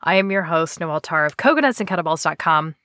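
A woman's voice: deep voice, expressive, fast talker, fairly bright tone, dry recording, clean studio sound. A woman hosting a podcast in a casual, eager, upbeat way, her voice slightly nasal from a cold. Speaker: podcast host.

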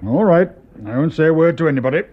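Actor as a cautious English gentleman, tinny recording. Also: a little high, fast talker, lightly acted. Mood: curious, wary. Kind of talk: radio drama acting.

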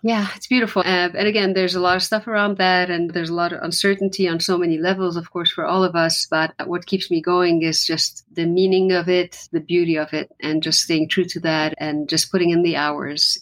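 A woman from Lebanon speaks as a musician guest in a conversational interview. Her voice sits slightly low, and she talks in an earnest, reflective way, often restarting her sentences. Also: level tone, normal speed, few pauses, low-quality recording.

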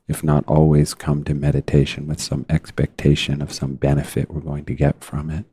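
A man leading a guided meditation in a measured, deliberate way, leaving long pauses between phrases. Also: a bass voice, warm tone, no room sound. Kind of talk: unscripted guided meditation talk.

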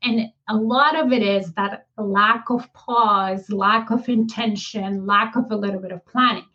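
A woman in her forties with a Polish accent, a business coach speaking as a guest on an interview show; she explains with pauses and laughs easily. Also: medium pitch, steady tone, bright, forceful tone, lo-fi sound. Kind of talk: casual interview talk.

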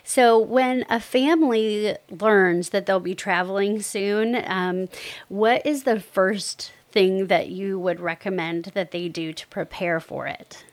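A woman's voice: mid-range voice, slow pace, no echo. A woman hosting a podcast, upbeat and enthusiastic as she introduces guests and asks questions. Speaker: podcast host.

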